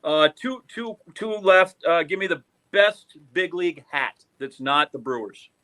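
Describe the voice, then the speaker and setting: Man, American accent, podcast host, very bright forceful voice, casual sports banter, teasing. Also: a little high, expressive, unhurried. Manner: joking, teasing.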